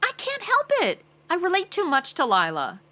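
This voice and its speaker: A woman's voice: extremely high pitch, wildly swooping pitch, normal speed, pushed and bright, full character voice. A woman playing a chatty, boy-crazy, ditzy would-be investigator in a comedy audio drama, gushing, emotional and flippant as she overshares.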